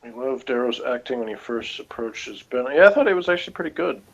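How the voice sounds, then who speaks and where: A man's voice: medium pitch, expressive, fast talker. A man co-hosting a review, chatting casually as he reads out notes and adds rambling, skeptical asides. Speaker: co-host of a TV episode review. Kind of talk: casual chat while reading out and reacting to review notes. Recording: dull, lo-fi sound.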